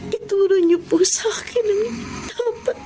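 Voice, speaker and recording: A woman's voice: very high-pitched, normal speed, mellow, faint music behind. A woman acting a distressed, tearful young wife, abused and overworked, in a Filipino radio drama. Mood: anguished, tearful. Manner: tearful, pleading. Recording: noisy radio drama track.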